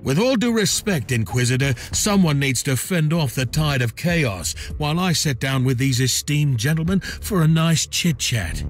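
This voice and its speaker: An actor playing a boisterous, hard-drinking old rogue of a starship captain in a sci-fi video game, theatrical and mock-courteous. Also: male voice, a little high, lively intonation, moderate pace, few pauses, faint music behind. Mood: cheeky.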